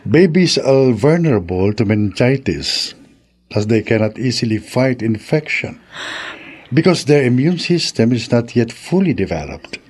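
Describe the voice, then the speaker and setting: Calm Filipino doctor, medium-pitched voice. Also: male voice, a little slow. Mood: grave, explanatory. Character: calm, authoritative, reassuring male doctor. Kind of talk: Filipino radio drama acting.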